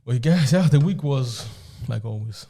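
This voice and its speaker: A man's voice: medium pitch, lively intonation, unhurried, dark tone. A man co-hosting a podcast, bantering casually and often repeating his phrases. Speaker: podcast co-host.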